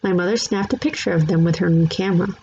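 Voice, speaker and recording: A woman reads a children's story aloud. Her voice sits fairly low and has a soft, mellow tone. The recording is a bit dull, with faint noise behind it. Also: fast talker, vocal fry. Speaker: read-aloud narrator. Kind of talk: scripted children's book narration.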